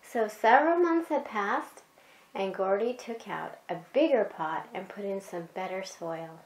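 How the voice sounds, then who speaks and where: A woman telling a children's bedtime story in an unhurried, expressive way, as if to a child beside her. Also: mid-range voice, reverberant, rough recording.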